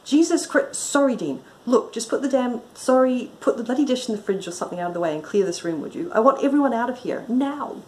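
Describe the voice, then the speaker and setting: A woman reading a storybook as an exasperated female detective inspector, brisk, sharp and commanding. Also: lowish voice, expressive, rough recording. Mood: angry, commanding.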